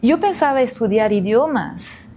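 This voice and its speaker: A woman from France, in her forties, answers interview questions about her life in an unhurried way. She pauses often and fills gaps with soft hesitations and restarts, thinking aloud as she goes. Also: a little high, sing-song, slight background noise. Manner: hesitant, many fillers and restarts. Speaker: interview guest, illustrator. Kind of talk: reflective conversational interview.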